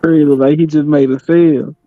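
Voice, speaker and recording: A man's voice: fairly high pitch, steady tone, a little fast, slight background noise. A man joking casually and in slang with the others on a video call. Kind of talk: casual chat. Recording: dull lo-fi video call audio.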